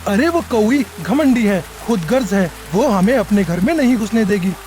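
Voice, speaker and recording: A man voicing an earnest, dramatic male character in a children's animated moral fable. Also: high voice, moderate pace, slight background noise. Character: male pigeon father, also solemn god of death.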